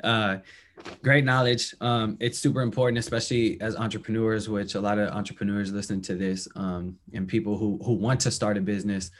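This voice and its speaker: Low-voiced young male podcast host. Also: little pitch movement, brisk. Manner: friendly and talkative, frequent fillers and affirmations. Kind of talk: casual interview chat.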